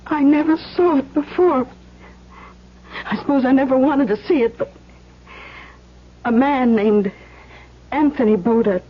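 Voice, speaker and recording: An actress playing a gentle, anxious young schoolteacher in a 1970s radio drama, halting and emotional. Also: female voice, sits high. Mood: sad resolve. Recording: muffled old radio broadcast.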